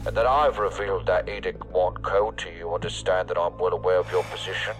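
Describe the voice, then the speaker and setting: Man acting formal gov agency boss in audio drama, real low voice, official, music under it. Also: fairly even intonation, a little fast, natural voice. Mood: formal, grave. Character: formal, authoritative male federal section chief briefing an agent.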